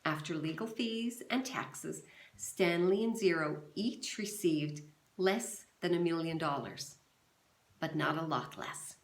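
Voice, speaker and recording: A woman teacher reading a novel aloud to her class in a calm, steady storytelling manner, recorded in a roomy, echoey space. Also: sits low, slow pace. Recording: roomy echo.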